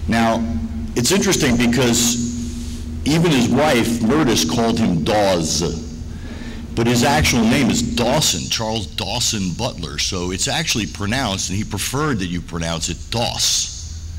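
A man's voice: lowish voice, animated, unhurried. A man presenting an informal, anecdotal slide-show lecture to a room, speaking as a presenter and biographer. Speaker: presenter, biographer of a cartoon voice actor. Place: hotel function room with projector screen. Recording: noisy room recording with ambience.

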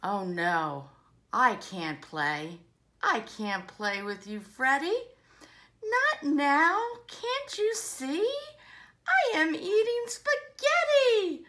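Lady doing a greedy stubborn girl monkey from a storybook, gushing and singsong, high bright voice. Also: big pitch swings, very slow, heavily acted. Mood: dismissive, stubborn. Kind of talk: children's storybook read-aloud.

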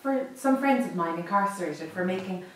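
A woman playing a sharp, wry, defiant Irish wife of 1916 in a radio drama. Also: fairly low pitch, lively intonation, moderate pace, very echoey, recorded from a distance, a little hiss or hum. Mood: weary, guarded. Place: recording studio, standing at microphone.

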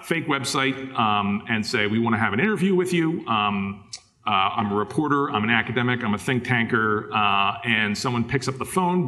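A man's voice: mid-range voice, pushed and bright. A man in his thirties giving a conference talk from a podium on a large stage, casual and full of fillers, often asking for the next slide. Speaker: conference speaker. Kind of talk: conference presentation.

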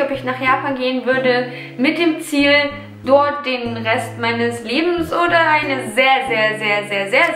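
Young German woman, high voice, echoey room. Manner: chatty, reflective, searching for words. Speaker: vlogger answering viewer questions. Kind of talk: casual Q&A vlog. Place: living room, seated on sofa. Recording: roomy echo with background music.

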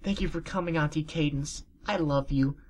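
A man voicing a small, timid pony colt in a high, soft childlike voice as he reads fan fiction aloud. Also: natural voice. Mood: pleading. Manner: high soft childlike falsetto, slow and pleading.

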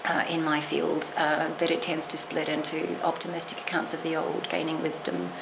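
A woman's voice: sits low, flat delivery, moderate pace, gravelly. A middle-aged woman, a literature professor, talking thoughtfully in an interview, qualifying herself with restarts and asides. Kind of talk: reflective interview talk. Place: small lecture room, seated. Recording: noisy room recording.

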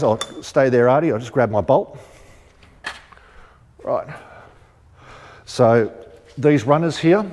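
A man's voice: mid-range voice, a little mellow. A man, casually demonstrating rooftop tent fittings in a large warehouse showroom, chatty and practical with lots of ums. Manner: chatty, practical, thinks aloud with frequent ums. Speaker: shop presenter demonstrating rooftop tents. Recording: long warehouse reverb, faint music.

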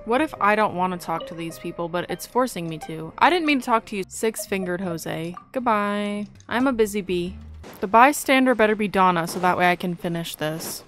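A woman's voice: mid-range voice, expressive, moderate pace, a bit bright. A woman giving casual gameplay commentary as a gaming host, informal and mock-exasperated. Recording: music in the background.

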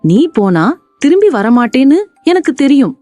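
Woman voicing a commanding storybook tiger, animated pitch. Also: normal speed, no echo, faint music behind. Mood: scornful, threatening. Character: big hungry threatening tiger who softens to mercy.

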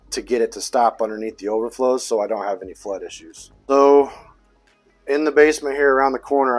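Guy showing off his basement fish tank plumbing, easygoing and matter-of-fact, middle pitch. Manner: explanatory, matter-of-fact, detailed. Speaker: fish tank hobbyist giving a tour. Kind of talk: casual walkthrough tour. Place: basement pump room.

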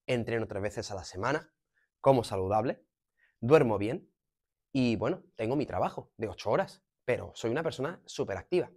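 Spanish man explaining, mid-pitched voice. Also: expressive, normal speed, darkish tone, husky. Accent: Spain. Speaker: fitness coach presenting a lesson. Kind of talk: explanatory advice to camera. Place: gym room, indoors. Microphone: clip-on lapel mic.

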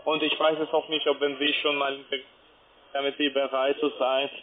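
A man selling by phone in halting, broken non-native German, often ending on a checking tag. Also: medium pitch, little pitch movement. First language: not German. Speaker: telephone trading salesman. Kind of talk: unscripted sales phone call. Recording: narrow muffled phone line.

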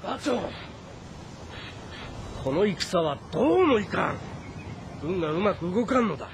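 A man's voice: sits high, sing-song, a little slow, background noise, heavily acted. A man playing an anxious, weary fortress commander of ancient China in a dramatic, earnest, brooding way. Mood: troubled. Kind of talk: dramatic game voice acting with inner monologue.

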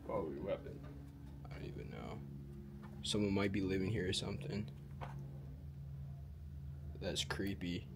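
A young man casually vlogging while he explores an abandoned school, talking straight to his viewers in relaxed slang. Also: sits low, unhurried, vocal fry, pauses often, noisy background. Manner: laid-back slangy, keeps addressing viewers. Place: abandoned school, indoors and on roof. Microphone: handheld camera at arm's length.

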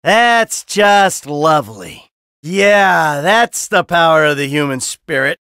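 A cynical middle-aged American gangster from a video game, sardonic and easily irritated. The voice is sharp and gritty with a creaky edge, recorded very dry. He delivers short, blunt tough-guy lines without hurry. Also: on the high side, animated, takes it slowly, no room sound, exaggerated voice. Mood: sarcastic, casual.

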